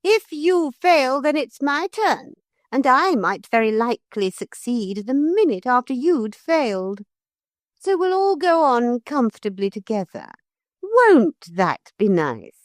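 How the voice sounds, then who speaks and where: A woman's voice: very animated, unhurried, dry recording, studio quality. A woman voicing a rude, bad-tempered intruding lady who shrieks and snaps. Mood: smug. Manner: shrill, screeching.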